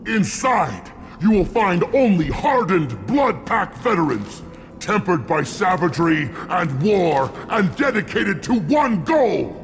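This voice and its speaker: An actor voicing a proud, bloodthirsty alien warlord in a video game, making menacing, bombastic speeches. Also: male voice, high-pitched, very animated, slow pace, faint music behind, exaggerated voice. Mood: furious, vengeful. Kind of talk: dramatic video game acting.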